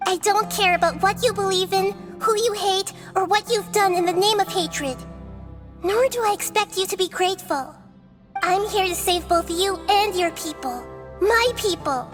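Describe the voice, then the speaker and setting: Wise little girl goddess, high youthful voice, soft, measured, earnest, composed, game music behind. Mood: firm, pleading. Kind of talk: video game voice acting.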